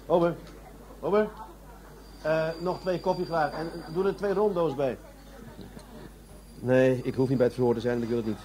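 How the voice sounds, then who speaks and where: Actor as mellow-voiced, world-weary police adjutant. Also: male voice, on the high side, moderate pace, long pauses, close to an everyday voice. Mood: blunt, dismissive. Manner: blunt, matter-of-fact. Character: gruff, world-weary male police adjutant who masters his anger. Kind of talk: radio drama acting.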